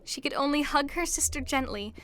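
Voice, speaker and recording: A woman's voice: high voice, fairly quick, a bit bright, a little hiss or hum. A woman narrator reading a scripted fairy tale for an audio drama. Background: music.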